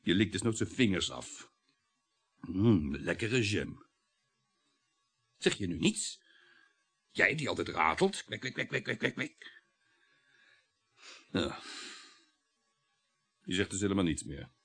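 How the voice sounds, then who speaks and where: A man's voice: low voice, big pitch swings, slow, long pauses, a bit bright, slightly rough, heavily acted. A man acting a domineering, middle-aged husband in a Dutch radio play, talkative and sarcastic, needling with sardonic chuckles. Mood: bitter, uneasy.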